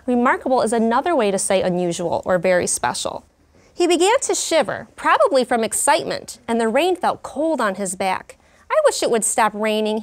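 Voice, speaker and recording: A woman in her thirties, a teacher in a classroom, reading a story aloud with clear, expressive delivery and pausing to explain words. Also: fairly high pitch. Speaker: kindergarten teacher. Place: elementary classroom.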